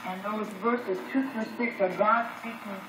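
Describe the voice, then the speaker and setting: A woman's voice: mid-range voice, fairly even intonation, moderate pace. A woman giving expository Bible teaching on a religious broadcast, heard through a shortwave radio. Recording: noisy distant shortwave broadcast, echoey room playback.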